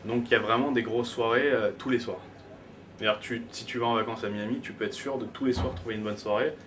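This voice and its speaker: A man's voice: mid-range voice, fairly even intonation, fast, a bit bright. Joking Frenchman heard from a distance. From France. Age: 20s. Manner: joking, hesitant with many fillers. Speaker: DJ, interview guest. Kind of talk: casual interview chat. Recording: distant mic, noisy background.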